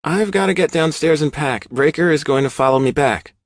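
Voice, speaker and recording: A man's voice: fairly high pitch, brisk, dry recording, studio quality, voice pushed up, lightly acted. A man voicing a confident, teasing young college woman in an audiobook, with a light, insistent manner. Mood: breezy, reassuring.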